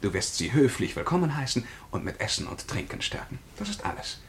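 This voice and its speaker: An actor playing a haughty, menacing time traveller in a radio drama, declaiming in a pompous, commanding way. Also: male voice, mid-range voice, sing-song, a little fast, a bit bright, slight background noise. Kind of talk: German radio drama acting.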